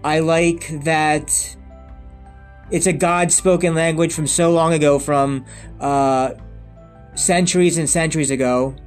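Man in his thirties with a high voice, rambling solo vlogger, lots of restarts, faint music underneath. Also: fairly even intonation, slow, smooth. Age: 30s. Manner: rambling and repetitive, with many restarts and emphatic lists. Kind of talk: unscripted monologue to camera.

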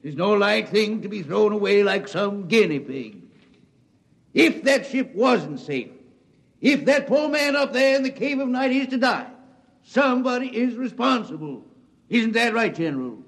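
An actor playing an indignant older senator from the American South, folksy and given to sermonizing oratory in a radio drama. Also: male voice, sits high, very animated, a little slow, heavily acted.

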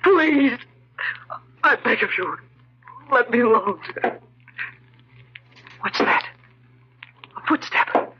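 An actor playing a guilt-ridden, desperate thief and murderer, with halting, melodramatic 1940s radio delivery. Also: male voice, extremely high pitch, expressive, breathy edge, full character voice. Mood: fearful pleading. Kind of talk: 1940s radio horror acting. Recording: muffled old radio recording.

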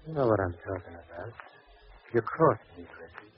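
An actor playing a brutal, defiant gangster who talks in tough slang, in old-time radio crime drama style. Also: male voice, sits low, expressive, moderate pace. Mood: menacing. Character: brutal, defiant male gangster, vengeful and menacing. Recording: muffled old radio broadcast recording.

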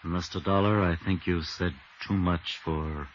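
Very deep, menacing young man. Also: steady tone, a little slow. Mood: cold menace. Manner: menacing, mock-polite. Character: cold, menacing young man making veiled threats. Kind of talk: 1950s radio drama acting. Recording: muffled, noisy vintage radio recording.

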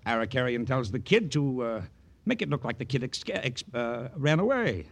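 Slick, cocky crooked private eye, animated. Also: male voice, mid-range voice, moderate pace, vocal fry. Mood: glib, uneasy. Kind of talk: 1940s-50s radio crime drama acting. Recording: old radio broadcast.

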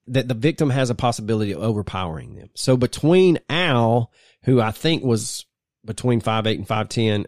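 A man co-hosting a podcast, telling the details of a true crime case in a measured storytelling way. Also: medium pitch, a little mellow, no echo, clean studio sound. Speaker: podcast co-host.

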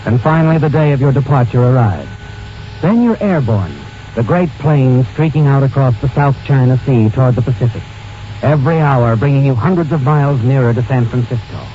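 A man's voice: medium pitch, expressive, brisk, a little hiss or hum. A man narrating a suspense story in dramatic, ominous 1940s radio style. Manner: ominous, addresses the character as "you". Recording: muffled old radio recording. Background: dramatic music.

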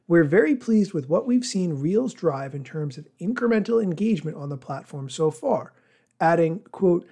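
A man hosting a news podcast, reading a scripted report and quotes in a measured, explanatory way. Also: on the high side, darkish tone. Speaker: podcast host. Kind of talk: scripted news narration with commentary.

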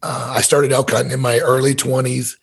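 A man's voice: mid-range voice, little pitch movement, moderate pace, gravelly, slightly rough. An American man in his fifties from Missouri, telling stories in a candid, folksy, rambling way as a podcast guest. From Missouri, USA. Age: 50s. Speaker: podcast guest, elk hunter and business owner. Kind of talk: casual conversational storytelling.

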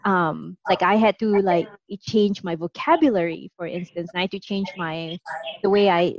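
A woman's voice: on the low side, steady tone, normal speed, dry recording, muffled recording. A woman from Singapore co-hosting a podcast, speaking with a light Singaporean accent under an American-leaning one, chatty and full of "like" fillers. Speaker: podcast co-host. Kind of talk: casual podcast chat.

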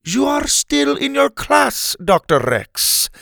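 A man's voice: extremely high pitch, wildly swooping pitch, takes it slowly, gravelly, a bit bright, no echo, studio quality, pitched above the performer's own voice, exaggerated voice. A man voicing a bossy, impatient woman who speaks in clipped, formal commands in a children's story. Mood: scornful. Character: bossy, impatient, scornful female camp onlooker.

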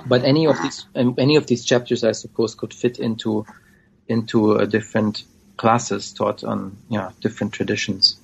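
A man, a scholar being interviewed, answering in a hesitant, thoughtful way with frequent pauses. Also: on the low side, steady tone, gravelly, breathy edge. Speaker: scholar of Islamic studies, guest. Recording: dull lo-fi audio.

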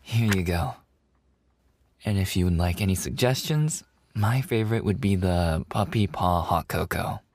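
A man's voice: low-pitched, unhurried, a little mellow. A man voicing an eager, adorable young puppy-boy waiter in boyfriend ASMR roleplay, with a cutesy, bubbly, earnest way of talking. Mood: sweet, excitable.

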